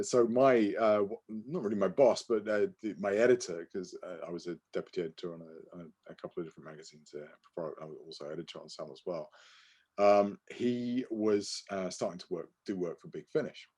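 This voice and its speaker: A man's voice: fairly low pitch, fairly even intonation, brisk, a little mellow, breathy edge. A man co-hosting a podcast, telling anecdotes casually and often correcting himself in a hesitant, apologetic way. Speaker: podcast co-host.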